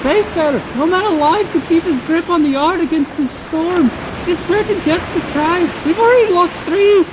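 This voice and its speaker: A woman's voice: very high-pitched, normal speed. A woman playing an earnest, anxious first mate on a sailing ship, in old-time radio drama style. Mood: urgent, pleading. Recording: loud storm noise behind.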